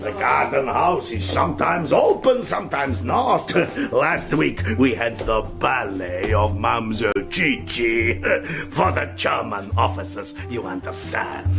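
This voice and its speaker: An actor playing an oily, fawning coffee-house keeper in a radio drama, ingratiating and chuckling. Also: male voice, mid-range voice, very animated, slow, full character voice. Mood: sly. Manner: smooth, ingratiating, chuckling host talk. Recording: radio drama with music behind.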